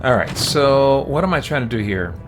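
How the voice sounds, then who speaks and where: A man giving casual live gameplay commentary, informal and halting as he thinks aloud. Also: mid-range voice, lively intonation, loud music behind the voice. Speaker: streamer playing a video game.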